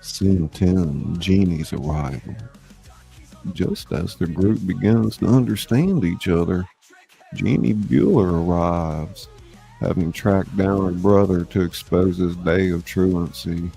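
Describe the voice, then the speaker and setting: A man's voice: deep voice, takes it slowly, creaky, dark tone, faint music behind. A man narrating stage directions from a script as the narrator of a reading.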